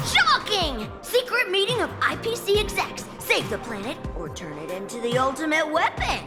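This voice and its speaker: A tiny, eager game critter with a squeaky-high, swooping voice. It is childlike and melodramatic, with everything exclaimed. Music plays behind, in a reverberant space. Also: female voice, sing-song, normal speed. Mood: excited. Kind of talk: animated video game character acting.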